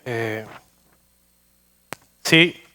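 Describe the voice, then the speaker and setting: A man's voice: mid-range voice, extremely slow, bright, forceful tone, smooth. A man from Spain with a Madrid accent, preaching a sermon in a church and telling anecdotes with self-deprecating humor. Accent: Madrid Spanish. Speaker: guest preacher. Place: church sanctuary.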